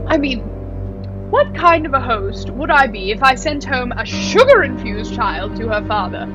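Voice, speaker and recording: A woman playing a haughty, falsely gracious wealthy hostess in a radio play, with affected, drawn-out politeness. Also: a little high, sing-song, muffled recording. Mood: coolly dismissive. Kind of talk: theatrical radio play acting. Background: prominent music.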